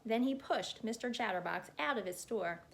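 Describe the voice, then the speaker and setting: A woman reading a children's picture book aloud as a storyteller, in an expressive narrating style. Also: a little high, normal speed, gravelly.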